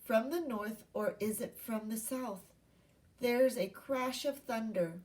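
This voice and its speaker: A woman's voice: on the high side, takes it slowly, darkish tone, recorded from a distance. A woman teacher giving a read-aloud lesson to young children, speaking in simple instructional phrases and asking questions. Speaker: primary school teacher.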